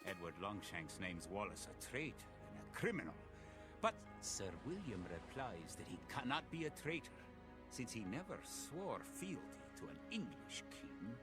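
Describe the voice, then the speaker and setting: An actor playing a grave, resolute medieval Scottish soldier, narrating a war in a dramatic, theatrical storytelling style for a game cutscene. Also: male voice, lowish voice, very animated, normal speed. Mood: proud, stirring. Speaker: campaign narrator. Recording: music under the voice.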